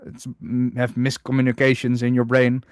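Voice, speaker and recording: A man from the Netherlands, hosting a casual livestream chat in a droning, halting way. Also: mid-range voice, smooth, dry recording, clean studio sound. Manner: hesitant, many restarts, self-described droning monotone. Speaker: livestream host.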